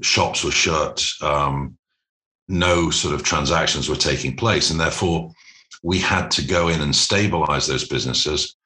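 Male business interviewee, extremely low but crisp-edged voice, articulate and corporate, recorded with noticeable room echo. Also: sharp tone. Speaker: private equity executive being interviewed. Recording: roomy, echoing sound.

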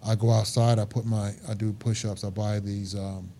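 Earnest man in his fifties, very dark voice. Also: lowish voice, flat delivery, moderate pace, slight background noise. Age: 50s. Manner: earnest, emphatic, repeats himself. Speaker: guest, truck driver. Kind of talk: casual interview talk.

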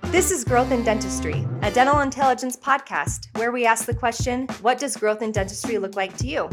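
A woman hosting a podcast in a chatty, enthusiastic way, her voice lowered and husky after an illness. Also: fairly quick, over music. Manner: chatty, enthusiastic, loose with fillers. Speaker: podcast host, dental hygienist. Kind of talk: upbeat podcast intro.

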